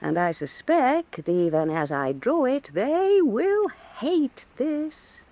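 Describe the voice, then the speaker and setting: An actress playing a proud, fierce, middle-aged woman painter and mother, sharp and scornful in a stage radio drama. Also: high-pitched, big pitch swings, slow, exaggerated voice. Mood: intense.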